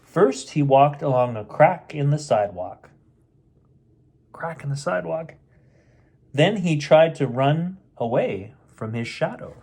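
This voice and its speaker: A man's voice: fairly high pitch, lively intonation, a little slow, a little mellow, husky. A middle-aged man reading a picture book aloud as a storyteller, with playful, chatty asides aimed at children. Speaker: storyteller, host of a bedtime story show.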